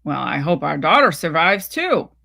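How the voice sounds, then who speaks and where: A woman's voice: deep voice, sing-song, moderate pace, creaky, bright, forceful tone, full character voice. A woman voicing a weary, evasive, critical husband in a story reading, casual and dismissive. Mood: accusing.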